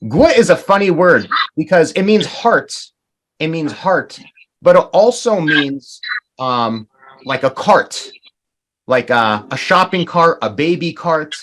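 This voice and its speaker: Lively man teaching an online class. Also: on the high side, takes it slowly, a little hiss or hum, rough recording. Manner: lively, explains patiently and repeats words. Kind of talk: online class, explaining words. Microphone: video call.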